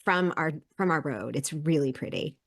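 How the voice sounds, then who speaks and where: A woman's voice: deep voice, normal speed. A woman in her fifties, a leadership coach and podcast guest, who talks at length with energy and laughs between phrases. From South Korea, grew up abroad. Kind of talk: conversational interview.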